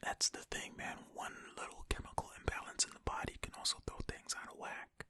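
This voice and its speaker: An ASMR host speaking in a breathy, unvoiced whisper, reading aloud and commenting in a gentle, chatty, informal way. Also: brisk.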